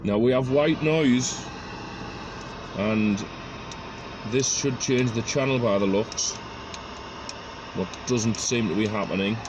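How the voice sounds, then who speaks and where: A man's voice: mid-range voice, slow, long pauses. A man giving a casual hands-on DIY tutorial, talking through each step and thinking aloud as he works. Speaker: presenter of a DIY car project video. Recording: noisy amateur recording with clicks.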